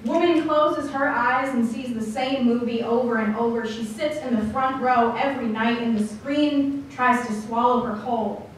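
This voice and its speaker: A woman performing slam poetry, speaking deliberately with dramatic phrasing and pauses. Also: a little high, steady tone, distant mic. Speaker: slam poet. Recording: echoey room from afar, faint noise.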